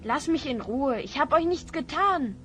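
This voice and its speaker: A woman's voice: high voice, lively intonation, moderate pace, a bit bright, soft background music. A woman playing an earnest young boy hero stranded in a fantasy world, in a children's audio drama. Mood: frightened, defensive.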